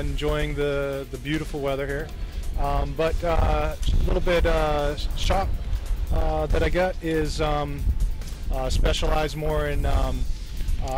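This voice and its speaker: A man in his forties speaks in a flat, fairly high voice as a shop vendor being interviewed. His phrases are halting and clipped, recorded outdoors on a handheld mic with music behind. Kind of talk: casual interview answers. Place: outdoors at open trailer doorway. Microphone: interviewer's handheld microphone.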